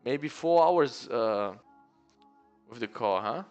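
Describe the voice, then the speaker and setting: Male stream host with a smooth, resonant voice at mid pitch. Easygoing and chatty, greets viewers by name with faint music underneath. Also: slow, dull audio. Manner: reads viewer comments aloud and greets them by name; relaxed, chatty, says "bro" often. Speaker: live-stream day trading host. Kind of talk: casual live-stream chat.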